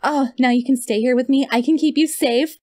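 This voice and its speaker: Woman acting a high-voiced, adorkable, clingy yandere girl. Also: steady tone, dark tone, lightly acted. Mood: giddy, adoring. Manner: awkward, halting, rambling, gushing. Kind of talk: ASMR audio roleplay spoken to the listener.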